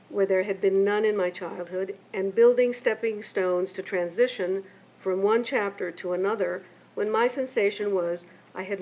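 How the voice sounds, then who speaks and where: A woman's voice: medium pitch, darkish tone. Older woman reading a reflective keynote. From Mexico and United States. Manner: measured, reflective, reading from pages. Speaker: keynote speaker and memoirist. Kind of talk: reading a prepared keynote speech. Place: lecture event. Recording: muffled lo-fi with faint hiss.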